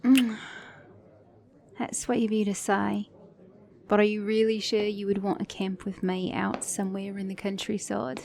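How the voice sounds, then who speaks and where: A woman's voice: mid-range voice, little pitch movement, unhurried, creaky, long pauses, darkish tone. Flirty, sassy woman mechanic played by an actress. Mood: flirty, sly. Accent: British or Australian. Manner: teasing, intimate, addressing the listener as a date. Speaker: ASMR roleplay voice actor. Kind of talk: ASMR romance roleplay.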